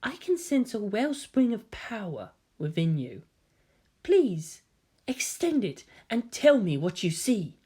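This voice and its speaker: Gentle, secretive teen boy, played by a woman: effeminate, courteous, quiet and earnest, lilting delivery for dramatic fan fiction. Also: medium pitch, very animated, slow pace, slightly rough, pitched above the performer's own voice, heavily acted. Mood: solemn. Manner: soft-spoken, polite, earnest.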